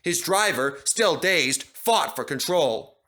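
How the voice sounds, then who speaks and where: Lively bright-voiced male storyteller. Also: fairly high pitch, takes it slowly. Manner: animated, expressive. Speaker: storybook narrator. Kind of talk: dramatic storybook narration.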